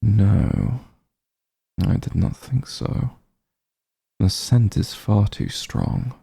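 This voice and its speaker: Man voicing a deep, slow, haughty vampire lord. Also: expressive, vocal fry, dark tone, breathy edge, full character voice. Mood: probing, menacing. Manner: imperious, disdainful, measured and commanding. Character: haughty centuries-old male vampire lord, cold, possessive. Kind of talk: ASMR roleplay, scripted dramatic monologue.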